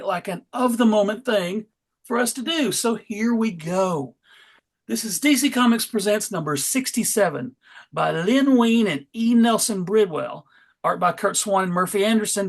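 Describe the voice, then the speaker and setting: A man's voice: sits high, vocal fry. A man acts as host and narrator for a dramatic comic-book reading. He plays up the narration and drops in wry, tongue-in-cheek asides.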